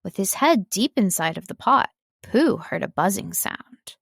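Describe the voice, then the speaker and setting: Woman audiobook narrator, unhurried, expressive dramatic storytelling, dry close sound. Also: mid-range voice, creaky, breathy edge, no echo. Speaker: audiobook narrator, voice-over artist. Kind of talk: scripted storybook and fantasy narration.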